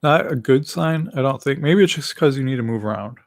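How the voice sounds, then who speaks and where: A man's voice: medium pitch, lively intonation, a little fast, creaky, no echo, clean studio sound. A man in his late twenties with a slight lisp, chatting casually and rambling with self-deprecating jokes on his own livestream. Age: late 20s. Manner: eating while talking. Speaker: livestream host. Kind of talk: casual solo livestream chat.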